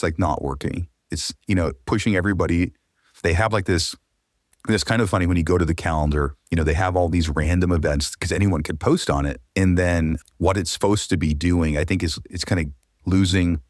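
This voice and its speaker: A man's voice: low-pitched, no room sound, studio quality. A man talking casually as a podcast guest, informal and matter-of-fact. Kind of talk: casual conversational podcast talk.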